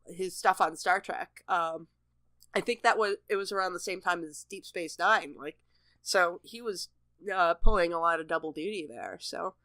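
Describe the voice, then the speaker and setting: A woman's voice: on the low side, normal speed, bright, forceful tone, dry recording. A woman co-hosting a podcast, talking casually about a television show. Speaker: podcast co-host. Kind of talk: casual TV episode discussion chat.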